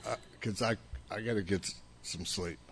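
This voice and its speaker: A man's voice: lowish voice, normal speed, fairly bright tone. A man in his seventies, whose first language was Italian, telling the story of his recovery to an audience in a blunt, rambling way, with frequent restarts. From United States. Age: 70s. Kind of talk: personal testimony to an audience.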